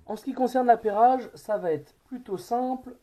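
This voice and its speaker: A man's voice: very high-pitched, normal speed, mellow. A man presenting a product in a casual hands-on tutorial, informal and thinking aloud as he goes.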